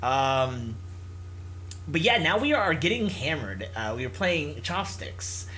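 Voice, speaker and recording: A young-sounding gamer talking casually through a visual novel playthrough in a halting, self-interrupting way. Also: mid-range voice, very animated, sharp tone, slight background noise, rough recording. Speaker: gaming video creator. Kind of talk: casual let's-play, reading a visual novel aloud.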